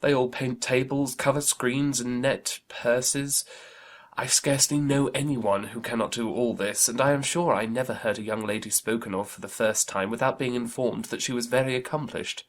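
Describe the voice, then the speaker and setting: A man playing an amiable, easygoing young gentleman in a dramatized audiobook, good-humoured and earnest. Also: mid-range voice, fairly even intonation, moderate pace, natural voice. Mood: admiring.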